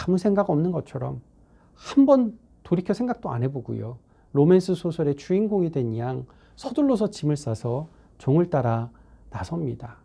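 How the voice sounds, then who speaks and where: A man's voice: a little high, dark tone. Reflective man preaching a sermon. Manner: earnest, reflective storytelling.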